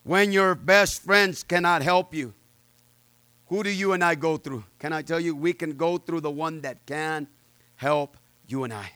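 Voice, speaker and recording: A man preaching a sermon with personal testimony, loud and fervent, peppering his words with amens and uh's. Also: fairly high pitch, a little slow, smooth, no room sound.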